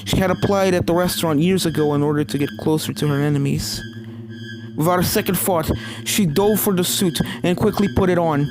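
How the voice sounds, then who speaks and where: A woman's voice: fairly low pitch, a little fast, background noise. A woman reading fan fiction aloud as scripted story narration.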